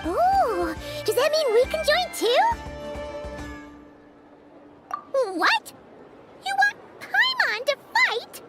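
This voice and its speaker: Super high squeaky game fairy sidekick, cheeky n boastful, swoops all over, music behind. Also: female voice, big pitch swings, slow, heavily acted. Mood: curious, cheeky. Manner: squeaky, refers to self in third person. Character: tiny excitable floating fairy companion girl, boastful and cheeky. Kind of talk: anime video game acting.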